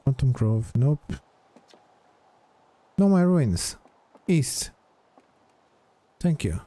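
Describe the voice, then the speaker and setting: A man's voice: mid-range voice, sing-song, drawn-out words, dark tone. A man giving casual gameplay commentary, hesitantly muttering his thoughts aloud as he plays. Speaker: gamer, let's play host.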